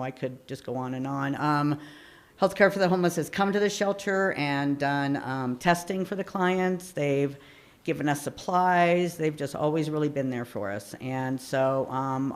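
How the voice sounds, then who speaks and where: A woman with a naturally loud voice, a shelter program manager, speaking earnestly at a community town hall with many ums. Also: low-pitched. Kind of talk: public comment at a town hall. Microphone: handheld microphone.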